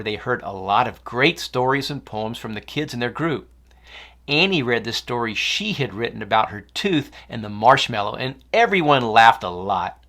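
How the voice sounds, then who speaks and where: Expressive woman reading a children's story. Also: rumbling low. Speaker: story narrator. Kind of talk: expressive children's read-aloud.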